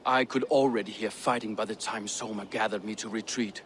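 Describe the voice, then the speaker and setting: An actor playing a proud, honourable Viking warrior in a video game, speaking earnestly and formally. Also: male voice, lowish voice, steady tone, fairly quick, slight background noise, close to an everyday voice. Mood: offended. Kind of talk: video game cutscene acting.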